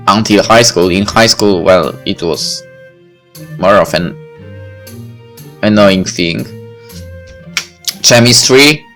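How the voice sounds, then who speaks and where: A man's voice: fairly low pitch, a bit bright. A young man reads a visual novel aloud with casual commentary, stumbling over words now and then. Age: young adult. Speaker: let's play host.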